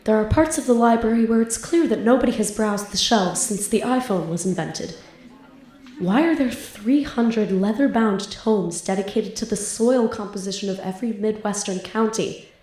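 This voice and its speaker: A woman's voice: medium pitch, normal speed, a little mellow, reverberant, lightly acted. A woman playing a flustered, lovestruck, bookish student in an audio drama, with grandiose theatrical diction. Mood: exasperated.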